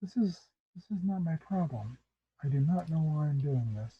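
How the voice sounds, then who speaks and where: A man playing a weary but kindly woman, talking slowly and patiently. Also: on the high side, expressive, breathy edge, muffled recording, heavily acted. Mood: exasperated. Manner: slow, patient, sighing.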